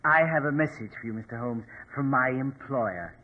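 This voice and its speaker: Man acting a sinister, husky, over-polite mortician, tinny old radio. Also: mid-range voice, lightly acted. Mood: unctuous, formal. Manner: formal, unctuous, deliberate. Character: sinister, politely menacing male mortician, smugly courteous henchman. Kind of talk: 1940s radio drama acting. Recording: tinny, band-limited old radio recording.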